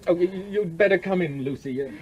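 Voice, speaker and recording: An actor playing an evasive married lover in a radio play, with a British accent and a cajoling, breezy manner. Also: male voice, high voice, quick pace, dull audio, lightly acted. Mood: flustered. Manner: pleading, cajoling, breezily dismissive.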